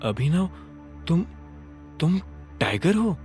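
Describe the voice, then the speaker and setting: A man's voice: on the high side, very animated, slow pace, a little mellow. A man voicing a cold, strict young woman with a haughty, curt manner, in an audio drama. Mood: stunned. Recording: music under the voice.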